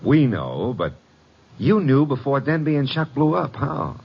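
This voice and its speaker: A man's voice: medium pitch, very animated, a little slow, vocal fry, slightly rough, heavily acted. An actor playing a cocky, wisecracking American legman in a radio detective drama, breezy, flippant and wry. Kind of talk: 1940s-50s radio detective acting. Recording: dull old radio recording.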